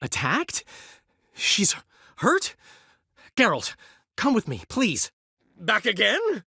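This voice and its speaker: A man's voice: high voice, wildly swooping pitch, takes it slowly, vocal fry, pushed and bright, husky, dry recording, studio quality, exaggerated voice. An actor playing a flamboyant, talkative male bard in a video game, witty and theatrical. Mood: chatty. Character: flamboyant, chatty middle-aged male bard and poet. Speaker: game character.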